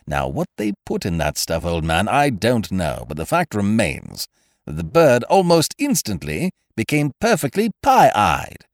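A man's voice: fairly low pitch, huge pitch swings, measured pace, no room sound, studio quality, voice pushed up, exaggerated voice. A man voicing a breezy, self-assured young schemer in a storybook, talking in an expansive, chummy way.

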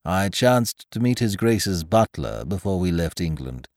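A man reading aloud, voicing an all-knowing male valet who speaks in a formal, measured, deferential manner. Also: deep voice, sing-song, darkish tone, no echo, clean studio sound, pitched below the performer's own voice, exaggerated voice.

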